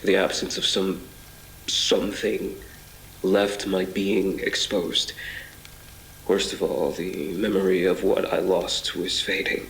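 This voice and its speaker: An actor playing a haunted, obsessive traveler between twisted worlds in a horror audio drama, speaking in a measured, brooding, literary way with halts. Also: male voice, low-pitched, flat delivery, creaky, fairly bright tone, a little hiss or hum, exaggerated voice. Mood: wistful, haunted. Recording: reverberant voice over music. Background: music.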